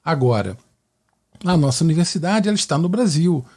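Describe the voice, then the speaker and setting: A man's voice: fairly high pitch, animated, fairly quick, a little mellow. A man from Rio de Janeiro with a Carioca Brazilian accent, hosting and answering questions in a conversational, didactic way with frequent checking tags. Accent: Carioca Brazilian Portuguese. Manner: didactic, with frequent tag questions seeking agreement. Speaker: podcast host and mathematics teacher.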